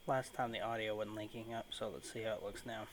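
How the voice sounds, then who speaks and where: Flat, low-key man doing gaming commentary. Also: quick pace, slight background noise. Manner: low-key, keeping his volume down. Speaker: gaming video host. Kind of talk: casual let's play commentary. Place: room at home.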